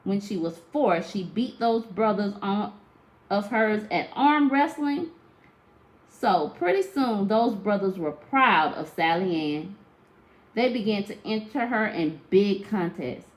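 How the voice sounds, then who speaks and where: Expressive woman reading a story aloud. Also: medium pitch, slow, poor audio. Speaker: teacher reading aloud to children. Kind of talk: storybook read-aloud narration of a tall tale.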